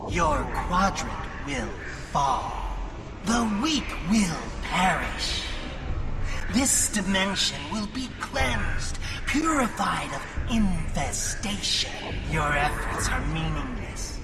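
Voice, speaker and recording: A man's voice: high voice, very animated, slow, creaky, distant mic, music in the background, heavily acted. A man playing a cold, contemptuous alien invader, making declamatory threats as a zealous sci-fi game villain. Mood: cold menace.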